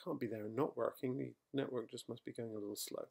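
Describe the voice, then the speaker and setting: A middle-aged man recorded at home, talking through a software tutorial as he types, with fillers and quick self-corrections. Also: medium pitch, steady tone, creaky, background noise. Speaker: software trainer. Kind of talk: screencast coding tutorial. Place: quiet home room.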